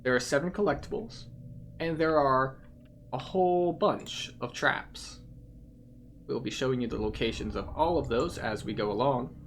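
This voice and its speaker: A man's voice: medium pitch, moderate pace, a little hiss or hum. Methodical man guiding through a game. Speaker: video game tour guide. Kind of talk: playthrough commentary.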